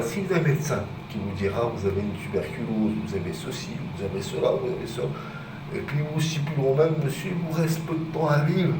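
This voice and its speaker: A man filming himself on a phone outdoors near his home, rambling and agitated, rising to shouted accusations. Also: medium pitch. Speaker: vlogger. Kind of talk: rambling phone-filmed rant. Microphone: phone camera. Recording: roomy distant outdoor phone recording with loud ambient noise.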